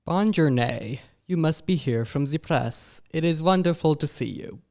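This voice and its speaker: A man's voice: on the high side, lively intonation, a little slow, gravelly, darkish tone. A man voicing a gracious 18th-century school founder.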